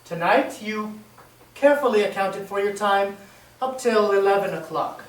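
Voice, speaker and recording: A man's voice: high voice, normal speed, reverberant, far from the mic, natural voice, rough recording. A man playing a suspicious, insistent police interrogator in an old-time radio play, accusatory in manner. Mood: accusing.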